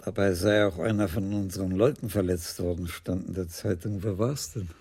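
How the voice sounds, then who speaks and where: An actor playing an elderly police commissioner in a radio play, courteous, dry and measured, with a gravelly, husky voice. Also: male voice, sits low, steady tone, darkish tone.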